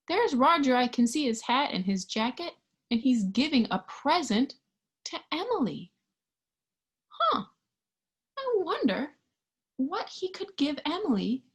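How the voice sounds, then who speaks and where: A woman reading a picture book aloud to young children, expressively, pausing to put questions to her listeners. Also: high voice, breathy edge. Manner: expressive, animated, with hushed asides and questions to listeners. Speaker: storybook reader.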